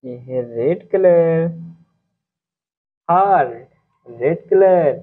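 A man's voice comes through a thin, phone-like recording. He speaks very slowly, with a husky tone, as he teaches small children. He repeats names and addresses the children directly. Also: on the high side, animated. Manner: repetitive, instructional, addressing children. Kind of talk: simple lesson for young children, repeating names. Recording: muffled, narrow phone-like sound.

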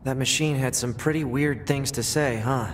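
A man playing a boyish, curious young android soldier in a video game, talking in an expressive, conversational way. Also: mid-range voice, normal speed, a little hiss or hum, lightly acted. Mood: casual, musing.

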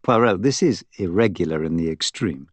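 A man's voice: low-pitched, animated, normal speed, no echo. A man voicing a blunt, incredulous English inspector in an audiobook, with a British accent and a questioning manner. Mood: astonished. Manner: astonished, questioning. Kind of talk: audiobook character acting.

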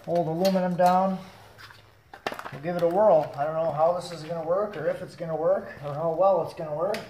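A man's voice: on the high side, fairly quick, slight background noise, rough recording. Young man casually narrating a garage welding job. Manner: relaxed, thinking aloud while working. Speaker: DIY welding vlogger. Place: home garage. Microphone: camera mic, moving between close and far.

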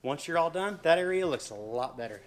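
A man's voice: a little high, lively intonation, a little fast, faint music behind. A man in his thirties, a boat dealer hosting his own channel, giving a casual, folksy how-to demonstration in a large showroom. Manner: easygoing, folksy instructional talk.

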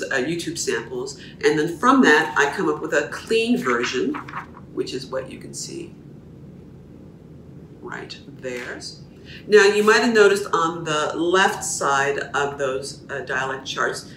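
Echoey woman dialect coach, measured. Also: low voice, fairly bright tone, distant mic, a little hiss or hum. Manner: measured, explanatory, teacherly. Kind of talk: explaining her work to camera. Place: small room indoors at home. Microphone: camera at a distance. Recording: echoey room, voice a little off-mic.

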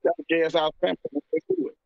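Middle-aged American man, slightly high voice, halting casual interview guest, dry muffled call audio. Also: very animated, creaky, no room sound. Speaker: interview guest, rapper and label CEO. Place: indoor room, video call.

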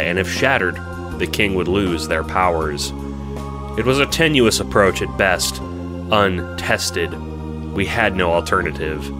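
Deep-voiced man narrating over music. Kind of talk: dramatic scripted story narration. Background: instrumental music with guitar.

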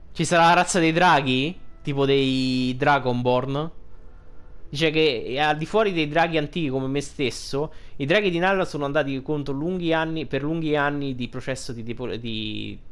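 A man's voice: fairly high pitch, normal speed, fairly bright tone, clean tone. Colloquial man doing game commentary. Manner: colloquial, sweary, reactive. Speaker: gaming video host. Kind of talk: casual game playthrough commentary, translating dialogue. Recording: game music behind the voice. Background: game music and dialogue.

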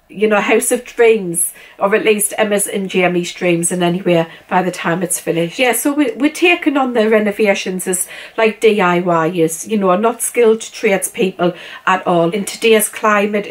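A woman in her forties with a British accent, chatting casually to camera in her living room, giggly and full of fillers. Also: mid-range voice. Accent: British English. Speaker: vlogger. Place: living room at home, indoors.

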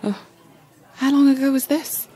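An actress playing a curious, cheeky young Irish chorus girl who is easily spooked, with a breathy voice, in an audio drama. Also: high-pitched, fairly even intonation, moderate pace, warm tone, close to an everyday voice. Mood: spooked, disbelieving. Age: young adult.

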